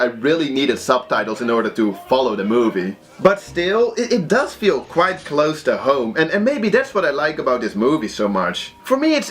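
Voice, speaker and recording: Animated Belgian man reviewing movies, restarts. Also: a little high. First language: Dutch. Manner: animated, halting with frequent restarts. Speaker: movie recommendation vlogger.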